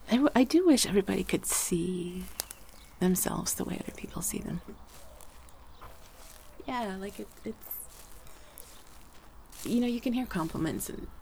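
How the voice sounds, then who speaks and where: A woman talking softly to the listener, drifting in and out of whispers. Her voice carries some gravelly fry and a touch of congestion from a cold. Her pitch sits in a normal middle range. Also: a little hiss or hum. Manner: gentle, mixes soft speech with whispers. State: a little congested, fighting a cold. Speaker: voice performer. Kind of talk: soft-spoken ASMR roleplay chat.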